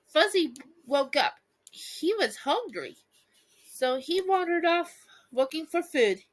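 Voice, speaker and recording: A woman with a very high, lively voice reads a storybook aloud at a slow pace. She is playful and giggly, slipping between narration and casual chat for her viewers. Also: poor audio. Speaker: host reading a bedtime story to viewers. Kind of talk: storybook read-aloud with casual asides to fans.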